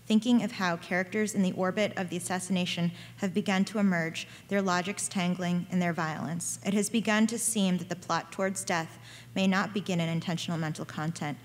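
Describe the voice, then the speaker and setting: A woman with a slightly low voice reads an academic paper at a conference. Her delivery is measured and even as she works through dense written sentences. The sound carries a long reverb from the lecture hall. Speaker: conference speaker. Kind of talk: reading a prepared academic paper.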